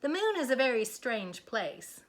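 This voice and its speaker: A woman's voice: on the high side, very animated, measured pace, voice pushed up. A woman voicing a naive, doubting cow in a children's story, earnest, wondering and easily startled. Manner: wondering, questioning, exclaiming.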